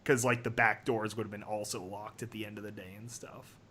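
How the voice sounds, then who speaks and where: A man hosting a livestream, reading a comic story aloud in an animated, dramatic way with casual asides. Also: fairly low pitch, a little fast, slight background noise. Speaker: livestream host.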